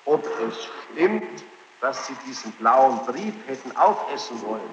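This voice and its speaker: A man's voice: medium pitch, sing-song, fairly bright tone, lo-fi sound. Insistent male interrogator, noisy echoing tape. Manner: insistent, halting, long-winded. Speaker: interrogator questioning a witness. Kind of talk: formal courtroom-style questioning. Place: large reverberant room.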